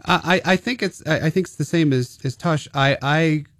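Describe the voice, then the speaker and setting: A man's voice: fairly high pitch, normal speed, fairly bright tone, no room sound, clean studio sound. A male stage actor being interviewed, hesitant, with many restarts. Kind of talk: casual interview.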